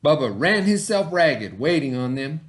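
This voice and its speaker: Male teacher, middle-aged, slightly high voice, folksy read-aloud to kids. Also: very animated, moderate pace. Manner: folksy storybook reading, high voices for characters. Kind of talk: reading a picture book aloud to a class.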